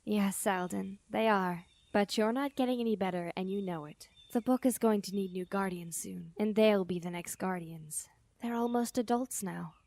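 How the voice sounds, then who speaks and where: Brisk guardian mother, played by an actress, dry sound. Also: mid-range voice, a little mellow, no echo, lightly acted. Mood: firm. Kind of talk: fantasy audio drama acting.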